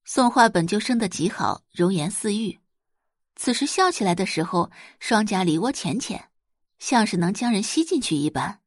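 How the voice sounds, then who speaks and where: A woman's voice: mid-range voice, lively intonation, moderate pace, no room sound, studio quality. A woman narrating a scripted audiobook story as its storyteller.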